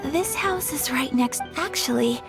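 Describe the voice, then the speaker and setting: A woman voicing a gracious, polite young noblewoman in an anime game, gentle and formal in manner. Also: high voice, animated, measured pace, loud music behind the voice. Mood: composed, explanatory. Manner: polite, formal, soft-spoken.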